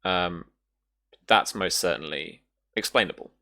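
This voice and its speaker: Game master for tabletop session: deep register with a gravelly edge, informal, thinks out loud. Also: unhurried. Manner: casual, thinks aloud while looking up rules. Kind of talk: casual tabletop roleplaying narration.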